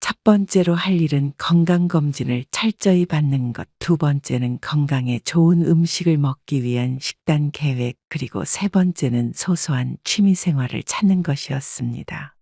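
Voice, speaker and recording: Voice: medium pitch, moderate pace, creaky, hardly any pauses, mellow, no echo, clean studio sound. A narrator reading a scripted first-person story in a composed storytelling manner.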